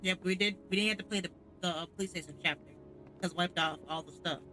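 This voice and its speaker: A man's voice: high voice, fairly even intonation, fast, bright, forceful tone. A man giving casual commentary as he plays a video game. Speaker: gamer. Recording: game music behind voice.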